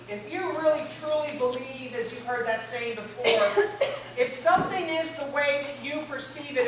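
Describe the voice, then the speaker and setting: A woman's voice: sits high, a bit bright. A middle-aged woman giving an informal presentation on gerontology, talking in a strong voice, loose and chatty with lots of fillers. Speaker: gerontology lecturer. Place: large echoey college hall. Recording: very echoey hall, distant mic, faint noise.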